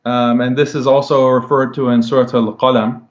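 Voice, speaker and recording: A man's voice: mid-range voice, flat delivery, moderate pace, lo-fi sound. A man explaining religious terms in a discussion and asking the sheikh to correct him.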